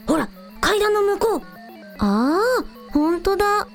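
A frank, caring village idol girl voiced by a woman talks in a very high voice. The delivery is energetic, anime-like radio drama. Faint music sits behind it. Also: slow, heavily acted. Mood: curious.

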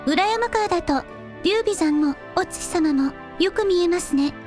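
A gentle young shrine maiden in a synthetic woman's voice for a radio drama. The pitch is very high, the manner polite and formal, with faint music behind. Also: lively intonation, unhurried. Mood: polite, calm.

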